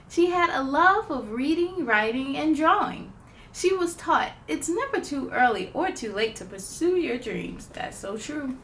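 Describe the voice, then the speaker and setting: A woman with a high, lively voice reads a children's storybook aloud. She is expressive and excitable, taking her time with the words. There is noticeable noise behind her. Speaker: storytime host reading aloud. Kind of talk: animated children's picture book read-aloud.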